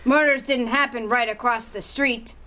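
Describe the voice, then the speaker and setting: A woman's voice: fairly high pitch, steady tone, moderate pace, bright, forceful tone. A woman playing a sweet, nosy older neighbor in an audio drama, polite, fussy and chatty.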